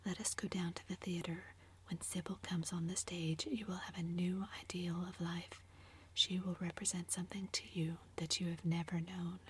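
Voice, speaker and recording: An ardent young man speaks with quiet conviction in a soft, low whisper, voiced by a woman narrator. The hush stays level throughout, with faint ambience behind. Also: little pitch movement, moderate pace, a little hiss or hum, natural voice. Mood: earnest.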